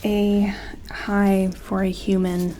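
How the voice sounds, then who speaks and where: A woman doing an ASMR medical roleplay as a gentle doctor, soft-spoken and slipping into whispers, with tentative, reassuring phrasing. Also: mid-range voice, flat delivery, slow. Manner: gentle, hesitant, reassuring clinician talk. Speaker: ASMR performer playing a doctor examining an alien.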